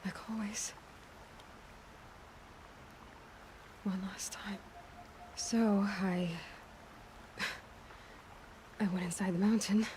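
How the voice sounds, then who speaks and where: Ambient noise, actress as young female warrior, earnest, determined, hushed halting delivery, video game drama. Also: medium pitch, steady tone, natural voice. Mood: tender, grieving, then solemn resolve. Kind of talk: cinematic video game acting.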